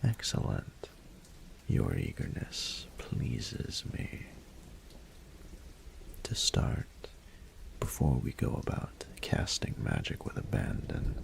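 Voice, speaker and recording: A man playing a regal, doting dragon ruler in a romantic audio roleplay, speaking formally in a gravelly, breathy murmur. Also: deep voice, full character voice. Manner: formal, unhurried, eloquent, courtly. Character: regal male dragon ruler, doting, patient, courtly mentor.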